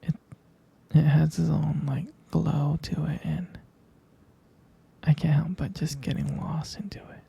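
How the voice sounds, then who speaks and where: A man playing a tender, adoring boyfriend in an intimate romantic ASMR roleplay, whispering softly, gently and hesitantly. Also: on the high side, flat delivery, unhurried, pauses often, heavily acted. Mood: tender, sincere. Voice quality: soft, breathy whisper with gravelly creak.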